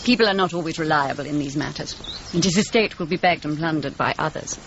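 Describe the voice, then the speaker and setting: An actress playing a grave, dignified aristocratic patroness in a radio drama, speaking formally in measured phrases. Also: female voice, sits low. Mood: sombre. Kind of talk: naturalistic radio drama acting. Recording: muffled recording with clear background noise.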